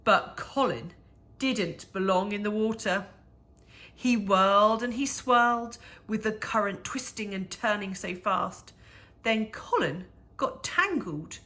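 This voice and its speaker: Woman doing storytime for little kids, rhyming book, slow and expressive, bright forward voice. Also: mid-range voice. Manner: measured, animated storytelling with dramatic emphasis. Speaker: children's story reader. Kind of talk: expressive picture-book read-aloud in rhyming verse.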